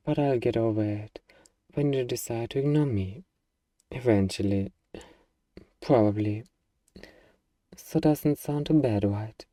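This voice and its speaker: Male actor as wheedling demon, very dark soft-edged tone, playful salesman manner, ASMR roleplay, slightly distant dry recording. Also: medium pitch, no echo, close to an everyday voice. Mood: breezy bargaining. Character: eager, bargaining male demon craving a cuddly human pet. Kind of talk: ASMR roleplay, acted monologue to the listener.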